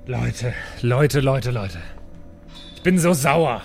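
A man's voice: mid-range voice, animated, slow pace, vocal fry, a little mellow, soft background music, voice pushed down. A man playing a furious, hot-headed superhero who holds grudges, in improvised role-play. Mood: angry, sulking. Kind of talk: improvised German audio drama role-play.

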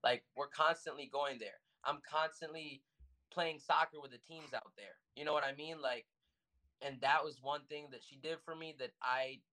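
Young US guy, podcast guest, bright and slightly high voice, rambling casual delivery. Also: steady tone, normal speed, poor audio. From United States. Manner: loose and rambling, heavy on fillers and restarts. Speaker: guest musician.

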